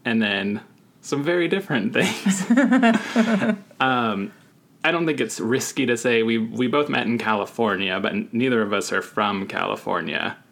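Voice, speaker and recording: A man from the Deep South co-hosts a laid-back podcast conversation over a video call. His voice has a gravelly edge, and he talks in a thoughtful, reflective way with an occasional laugh. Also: expressive, measured pace, fairly bright tone. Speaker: podcast co-host. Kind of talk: casual chat.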